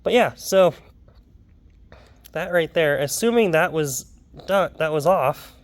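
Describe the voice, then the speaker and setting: A man's voice: on the high side, animated, slow. A young man, a little sick and wheezy, talking casually through his car work in a garage vlog with lots of ums and side remarks. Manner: rambling, lots of ums and asides. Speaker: car hobbyist vlogger. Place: home garage.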